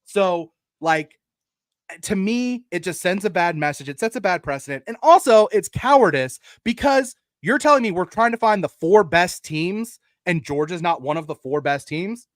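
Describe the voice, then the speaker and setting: A man's voice: sits high, lively intonation, moderate pace, no echo. A man co-hosting a sports podcast, arguing emphatically about college football in casual, sometimes profane language. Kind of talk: opinionated college football debate.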